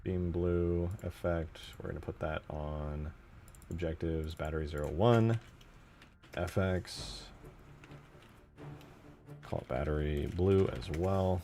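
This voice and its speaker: A man giving casual, low-key commentary as he works on a game mod, muttering to himself as he thinks aloud. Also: steady tone, takes it slowly, long pauses, mellow, slight background noise. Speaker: game modder. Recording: music behind the voice. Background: clear background music.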